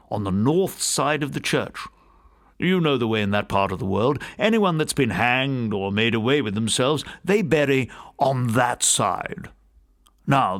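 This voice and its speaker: A man voicing a talkative, genteel English gentleman scholar who rambles conversationally through old local gossip in an audiobook. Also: medium pitch. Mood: musing, pitying.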